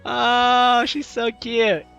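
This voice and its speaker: A woman's voice: a little high, level tone, extremely slow, creaky, fairly bright tone, muffled recording. A woman doing a playful, slangy let's play, reading dialogue aloud and commenting casually. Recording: faint music behind the voice.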